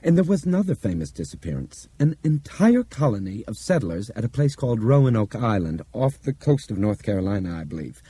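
A man voicing a shrewd explainer in an audiobook, speaking with deliberate, theatrical emphasis and authority. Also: fairly low pitch, sing-song, warm tone, slightly rough, voice pushed up. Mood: expounding.

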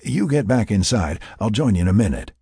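A man's voice: lowish voice, big pitch swings, a little fast, vocal fry, dark tone, husky, no room sound, studio quality, full character voice. A man voicing a terse, commanding, protective man in an adventure audiobook. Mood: calming, firm. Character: gruff, urgent, protective man with rifle in adventure story.

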